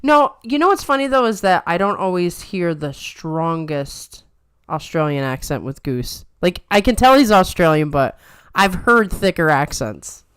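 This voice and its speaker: Smooth chatty woman with a New York lilt. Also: on the low side, sing-song, unhurried, no echo, clean studio sound. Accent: softened New York. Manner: chatty, playful, trained radio delivery. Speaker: podcast co-host. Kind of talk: casual chat.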